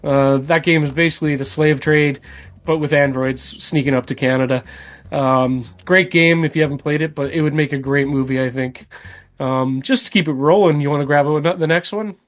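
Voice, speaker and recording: A man's voice: fairly high pitch, fairly even intonation, moderate pace. A middle-aged man co-hosting a podcast in casual, slangy, buddy-like chat. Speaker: podcast co-host. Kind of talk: casual chat about video games.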